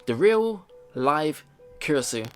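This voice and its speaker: Higher-pitched, lively man narrating a game. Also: slow pace. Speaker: let's play video host. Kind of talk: reading game text aloud with casual commentary. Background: game music.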